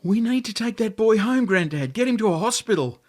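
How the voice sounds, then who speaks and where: Man voicing an anxious, high-pitched Australian youngster. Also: lively intonation, a little fast. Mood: urgent, worried. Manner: urgent, worried, raised pitch. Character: anxious, pleading young grandchild.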